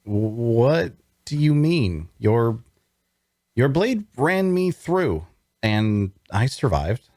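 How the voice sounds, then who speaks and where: A man's voice: mid-range voice, big pitch swings, extremely slow, darkish tone, no room sound, clean studio sound, exaggerated voice. A man playing a curious, wounded captive who asks questions hesitantly in a fantasy drama reading. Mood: puzzled. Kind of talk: dramatic fantasy table read.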